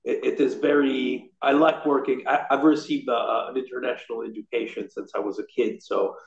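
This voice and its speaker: A man's voice: mid-range voice, level tone, normal speed, gravelly. A man in his thirties from Rome with a light Italian accent, chatting as a real estate guest on a video call, often restarting his sentences. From Rome, Italy. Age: 30s. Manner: chatty, frequent restarts and fillers, gestures with hands. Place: indoor room at a desk. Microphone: laptop camera on video call. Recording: roomy, dull video call audio.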